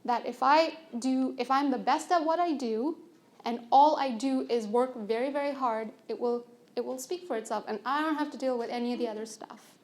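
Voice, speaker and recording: A young adult woman, soft-spoken and earnest, telling a personal story on stage at a conference, with fillers and restarts. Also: sits high, normal speed. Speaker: conference speaker.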